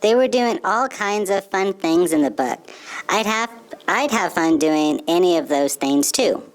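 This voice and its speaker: A woman's voice: fairly low pitch, lively intonation, unhurried, rough recording. A woman voicing a friendly, eager young male puppet on a children's show, speaking simply and earnestly. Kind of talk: children's show dialogue, scripted.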